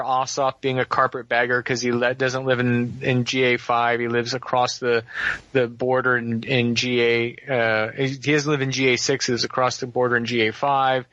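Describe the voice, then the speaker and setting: A man's voice: mid-range voice, bright, forceful tone, no room sound. A man giving rambling, sardonic political commentary on a talk radio show. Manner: wry, rambling, sardonic asides. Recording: dull lo-fi radio audio.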